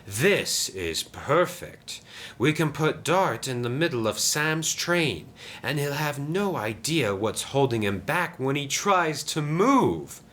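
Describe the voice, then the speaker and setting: A man's voice: medium pitch, very animated, slow pace, fairly bright tone, slight background noise, pitched above the performer's own voice. Man as a bossy, scheming diesel engine. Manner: curt, sly, dismissive.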